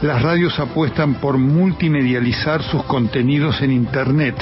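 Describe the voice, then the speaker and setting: A man with an Argentine Spanish accent narrates a radio history in a measured, formal way. His voice sits at a middle pitch. The sound is slightly dull, with clear music playing underneath. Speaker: documentary narrator.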